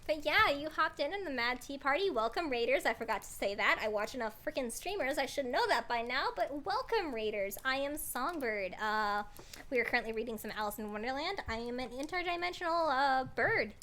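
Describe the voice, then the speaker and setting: A woman chatting casually as a livestream host, bubbly, scattered and excitable. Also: high-pitched, brisk. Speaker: streamer, host of a story-reading livestream.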